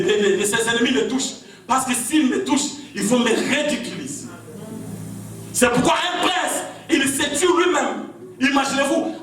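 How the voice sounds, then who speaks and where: A man from Congo with a Congolese French accent, preaching an impassioned sermon to a rally. He shouts his refrains in repeated rhythmic cadences. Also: extremely high pitch. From Congo (DRC). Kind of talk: fiery political sermon. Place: large echoing hall at a gathering. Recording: very echoey hall, far off, music behind. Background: music playing.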